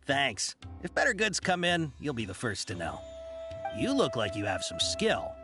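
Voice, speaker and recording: A man's voice: medium pitch, huge pitch swings, a little slow, sharp tone, full character voice. A man voicing an overly courteous, apologetic male shop informant in a game cutscene. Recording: game soundtrack music behind.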